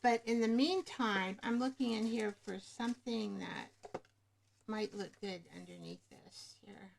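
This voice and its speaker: A woman hosting a casual craft livestream, rambling and pausing to search for words, speaking into a webcam microphone. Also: medium pitch, vocal fry. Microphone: webcam microphone above the screen.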